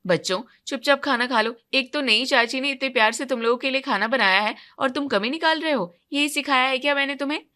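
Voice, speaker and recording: A woman's voice: high-pitched, brisk, few pauses, pushed and bright. A woman voicing a capable, considerate elder sister-in-law who takes charge in an audio story. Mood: scolding. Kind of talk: acted dialogue in a Hindi audio story.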